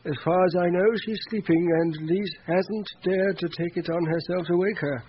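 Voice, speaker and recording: A man's voice: high-pitched, fairly even intonation, moderate pace, lightly acted. A man playing a discreet, hesitant butler in a radio drama, speaking with careful deference. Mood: deferential, uneasy. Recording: muffled, lightly noisy radio recording.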